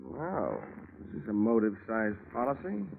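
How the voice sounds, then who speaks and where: An actor playing a wry, hard-boiled insurance investigator in an old-time radio detective drama, with a dry, wisecracking delivery. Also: male voice, fairly low pitch, wildly swooping pitch, moderate pace, gravelly, phone-quality sound, a little hiss or hum, heavily acted. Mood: dry, skeptical. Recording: band-limited old radio sound with music.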